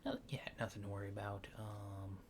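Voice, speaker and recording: A man speaking softly in an ASMR exam roleplay, murmuring hesitant short instructions and sometimes dropping into a near-whisper. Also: lowish voice, steady tone, fairly quick, very noisy. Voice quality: soft, hushed, breathy near-whisper at times. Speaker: ASMR performer playing an examiner.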